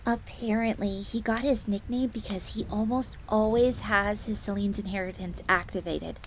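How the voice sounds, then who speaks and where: A woman's voice: medium pitch, normal speed, natural voice. A woman playing an earnest, devoted young fox maid in a scripted fantasy roleplay audio drama, bookish and speaking of herself in the third person. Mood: informative. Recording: steady ambient noise, crackling fire.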